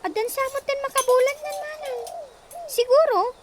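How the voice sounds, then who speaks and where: A woman's voice: extremely high pitch, moderate pace. A woman in an Ilocano radio comedy drama, chatty and quizzical. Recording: noisy background hiss.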